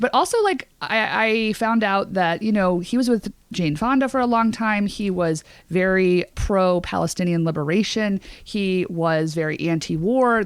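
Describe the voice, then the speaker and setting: A woman with an American accent and a slightly low voice, recorded close and dry. She sounds like a podcast co-host in casual conversation, emphatic and full of opinions, with plenty of slang. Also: no room sound. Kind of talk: casual pop-culture chat.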